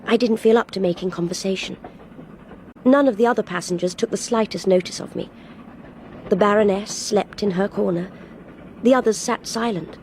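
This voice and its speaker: A woman's voice: medium pitch, moderate pace, long pauses, a little mellow, natural voice. An actress playing a polite, well-bred young English woman traveller in a radio drama, speaking in a reserved, well-spoken way. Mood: subdued, reflective. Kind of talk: radio drama dialogue and first-person narration. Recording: faint train noise behind.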